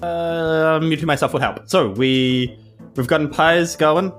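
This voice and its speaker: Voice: mid-range voice, measured pace, soft background music. A player in a tabletop role-playing game, talking casually about plans and rules with the group.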